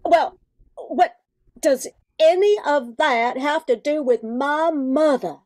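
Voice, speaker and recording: A woman's voice: sits high, slow, a little mellow. An older woman acting a spirited, protective grown daughter in an amateur radio play, speaking emphatically. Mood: indignant, firm. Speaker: amateur radio drama performer.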